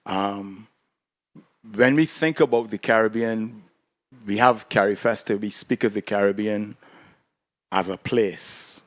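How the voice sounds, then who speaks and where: Man from Barbados, panelist, low and unhurried, dry close sound, measured delivery. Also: no room sound. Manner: slow, deliberate, measured. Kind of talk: panel discussion talk. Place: conference hall panel.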